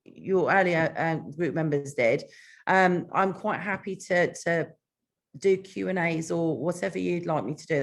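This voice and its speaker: A woman with a British accent and a slightly low voice. She is an author, at home reading her fiction aloud or chatting casually as a guest. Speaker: author and show host, guest.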